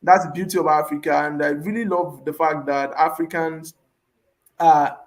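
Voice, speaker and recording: Man, panel guest, youth leader, video call, slightly high voice, earnest and pausing. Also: steady tone. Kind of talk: speaking on an online panel.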